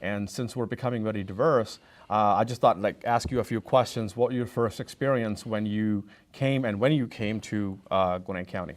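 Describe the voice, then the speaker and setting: A man's voice: lowish voice, moderate pace. A man hosting an interview, asking questions with hesitant fillers.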